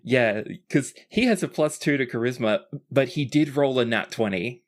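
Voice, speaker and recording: A game master tells the story of a tabletop role-playing game. The voice sits at a medium pitch, and the delivery is casual and peppered with swearing. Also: normal speed.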